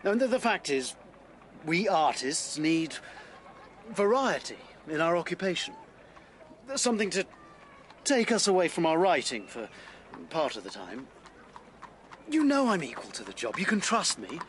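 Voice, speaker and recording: An actor playing a proud, struggling Victorian writer in a radio drama, English-accented, earnest, formal and brittle. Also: male voice, a little high, sing-song, unhurried, pauses often, fairly bright tone, slight background noise. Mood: earnest, pleading. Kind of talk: period radio drama acting.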